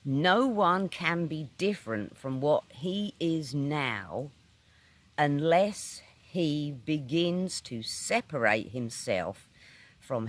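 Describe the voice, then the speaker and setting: Slow-paced British man reading aloud. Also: medium pitch, a bit bright. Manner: measured, deliberate, instructive, with pauses between short phrases. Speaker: audiobook reader. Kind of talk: scripted narration of a metaphysical article.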